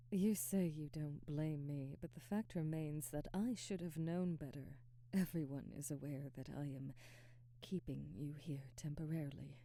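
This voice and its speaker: A woman playing a proud, ambitious vampire aristocrat in an intimate ASMR roleplay, speaking softly and breathily with measured, formal diction. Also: deep voice, fairly even intonation, no echo. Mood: soft, remorseful. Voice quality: soft, breathy, whispery. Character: proud, ambitious female vampire aristocrat, remorseful, domineering captor.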